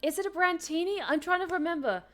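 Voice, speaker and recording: A woman's voice: very high voice, lively intonation, fast, fairly bright tone, faint music behind. A woman streaming a game, chatting casually with her viewers, self-deprecating and talkative. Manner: chatty, self-deprecating, reads chat aloud.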